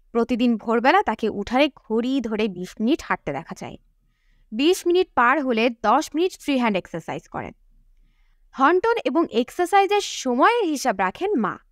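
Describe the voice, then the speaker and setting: Audiobook narrator, female: high voice, lively melodic swings, reading scripted fiction on a close mic. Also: no room sound.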